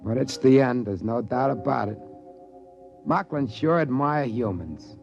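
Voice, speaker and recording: A man's voice: mid-range voice, animated, unhurried, gravelly, darkish tone. An American actor playing a folksy, easygoing trading-post man who narrates his tale plainly in a 1950s radio drama. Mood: wistful, plain. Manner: plain-spoken, folksy, colloquial. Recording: dull vintage radio recording, music underneath.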